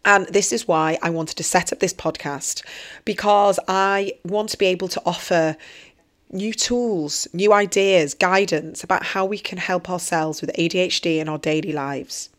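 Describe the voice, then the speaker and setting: A hoarse woman, earnestly introducing her podcast as host and coach. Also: on the low side, moderate pace. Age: 40s. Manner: earnest, reflective, personal. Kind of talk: solo podcast trailer monologue.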